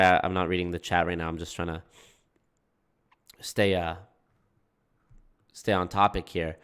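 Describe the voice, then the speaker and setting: A man's voice: lowish voice, a bit bright. A man with a nasal, congested voice, talking casually to his livestream chat as a content creator and often restarting his sentences. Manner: rambling, self-correcting, frequent restarts. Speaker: anti-MLM YouTube creator.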